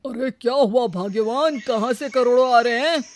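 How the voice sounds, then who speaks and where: A woman's voice: on the high side, normal speed, a little hiss or hum, close to an everyday voice. A woman voicing a protective, skeptical middle-aged father in a cartoon story, with a questioning, protesting manner. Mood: puzzled. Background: background music.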